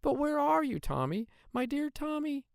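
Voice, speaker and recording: Anxious storybook mother, played by a man: high, swooping, loving, crying out. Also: very animated, moderate pace, gravelly, no room sound, studio quality, heavily acted. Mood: distraught. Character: anxious, loving mother searching for her child.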